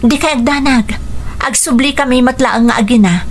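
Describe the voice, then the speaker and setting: A woman's voice: a little high, moderate pace. A woman with an Ilocano accent playing a bitter, guarded single mother who fiercely protects her child, in radio drama style. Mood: guarded, resolute.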